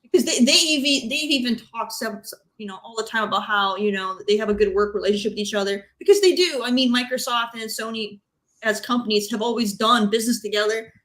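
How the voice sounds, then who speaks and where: A woman's voice: a little high, fast talker, fairly bright tone. A woman chatting casually as a podcast panelist, telling stories in a blunt, informal way. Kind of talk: casual chat.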